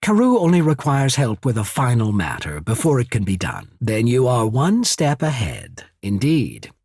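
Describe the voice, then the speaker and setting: An actor playing a cool, shrewd, courteous military official in a video game, speaking in a measured, formal, husky way. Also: male voice, medium pitch, wildly swooping pitch, a little mellow, no room sound, studio quality, exaggerated voice. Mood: businesslike, courteous. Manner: measured, formal, subtly calculating. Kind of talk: scripted game character dialogue.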